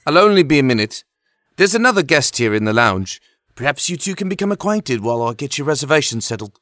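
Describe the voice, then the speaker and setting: A man's voice: mid-range voice, very animated, fast talker, fairly bright tone, no echo, clean studio sound. A man playing a polite, formal, deferential hotel receptionist in an audio drama. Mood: courteous. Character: courteous, soothing male castle hotel receptionist.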